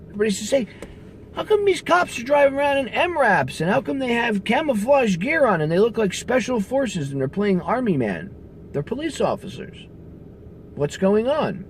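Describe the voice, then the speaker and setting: A man delivers an angry, profane political rant, leaning on sarcasm and blunt emphasis. His pitch leaps up and down as he presses each point. A faint hiss sits under the recording. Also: very animated, normal speed. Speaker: political commentary host. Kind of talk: ranting commentary.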